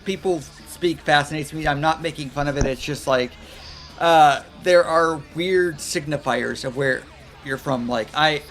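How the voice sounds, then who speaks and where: A man's voice: a little high, a little slow, a bit bright. A man with a Midwestern American accent co-hosting a casual music podcast, talking in thoughtful, hesitant asides. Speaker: podcast co-host. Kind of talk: casual chat about music.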